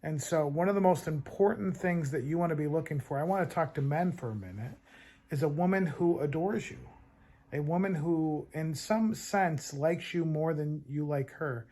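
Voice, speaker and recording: A man's voice: on the high side, normal speed, mellow. A man giving dating advice in an earnest, conversational way, often restarting and repeating words. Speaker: dating coach. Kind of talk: advice talk to camera.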